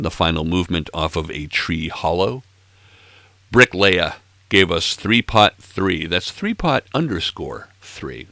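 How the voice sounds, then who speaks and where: Very deep gravelly man in his sixties. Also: sharp tone, no echo. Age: 60s. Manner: playful, self-mocking, rambling asides. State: slightly hoarse, froggy throat. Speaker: music podcast host. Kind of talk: casual chat between tracks.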